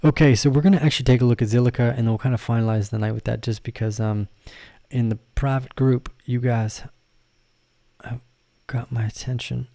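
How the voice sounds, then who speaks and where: A man hosts a casual trading livestream, talking through charts in a loose, rambling way. He often corrects himself mid-sentence and laughs along with his audience. His voice is mellow and relaxed, recorded dry with no room sound. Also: medium pitch, a little fast, vocal fry, no echo. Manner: addresses viewers and reads their comments. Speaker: crypto trading channel host.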